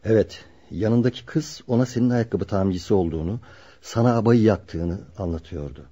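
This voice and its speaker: A loyal carpenter in a radio play, with a dark, husky voice. He is serious and measured, and the recording sounds muffled. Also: lowish voice. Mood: earnest, serious. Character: loyal, earnest carpenter protecting his childhood friend. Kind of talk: radio drama acting.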